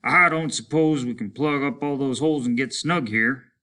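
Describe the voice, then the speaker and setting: Weary military leader for a storybook, read by a man: raised pitch, forceful edge, clipped and matter-of-fact. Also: normal speed, natural voice. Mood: sarcastic. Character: male lieutenant, terse, weary military leader.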